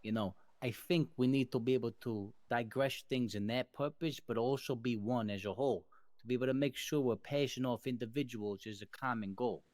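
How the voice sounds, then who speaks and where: A man's voice: mid-range voice, brisk, a little mellow, no room sound. A man improvising formal, long-winded business talk in a mobster roleplay. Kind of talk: improvised in-character business speech.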